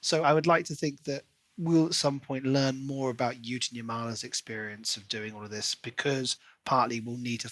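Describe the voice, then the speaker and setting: A man's voice: mid-range voice, sharp tone, dry recording. A man co-hosting a podcast, talking casually and analytically through his thoughts on a book.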